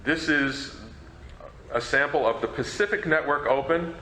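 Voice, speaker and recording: A man giving an informal talk as a presenter, in a halting, stammering manner. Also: a little high, sharp tone. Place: presentation room at a convention. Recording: echoey room, faint noise.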